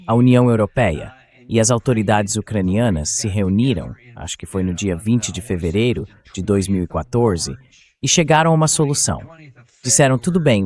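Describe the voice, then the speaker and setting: A man's voice: lowish voice, a little mellow. A man with a Brazilian accent speaking as an interview guest in a translated voice-over, explaining in a conversational way. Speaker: interview guest giving political commentary.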